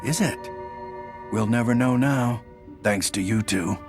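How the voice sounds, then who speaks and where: An actor playing a cynical, scheming intelligence agent in a video game, condescending and sardonic. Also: male voice, medium pitch, unhurried, natural voice. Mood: defiant, mocking. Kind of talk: video game dialogue acting. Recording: music clearly behind the voice.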